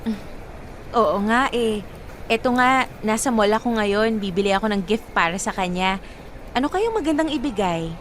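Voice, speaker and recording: A woman playing a devoted, expressive young girlfriend in a Filipino radio drama. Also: medium pitch, normal speed, pauses often, slight background noise, close to an everyday voice. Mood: light, chatty. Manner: expressive, affectionate. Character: devoted, cheerful young girlfriend named Monet.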